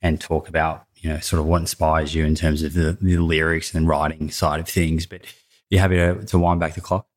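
A man's voice: rumbling low, flat delivery, fairly quick. A man with an Australian accent hosting a podcast from his apartment, asking friendly, curious questions. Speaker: podcast host. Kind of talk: casual podcast interview.

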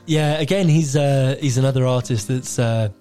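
Male musician guest, dark mellow tone, laid-back and hesitant. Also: medium pitch, normal speed, smooth. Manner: relaxed, hesitant, many fillers. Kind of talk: casual radio interview.

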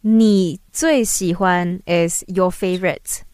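A woman's voice: on the low side, steady tone, takes it slowly, a little mellow, clean tone, dry recording. A woman tutoring Mandarin phrases in casual English, patiently breaking words down and encouraging her student. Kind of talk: casual language lesson.

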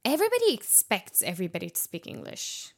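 A woman's voice: mid-range voice, quick pace. A young woman from Jordan whose first language is Arabic, chatting casually as a podcast co-host and acting out quotes as she tells stories. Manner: animated storyteller, acts out quotes. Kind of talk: casual chat.

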